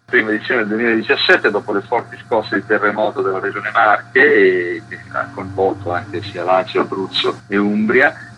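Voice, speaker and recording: Interview guest: man, forceful bright tone, explaining at length, slight noise behind. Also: on the low side, normal speed, dull audio. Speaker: festival curator, interview guest. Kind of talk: radio interview, explanatory talk.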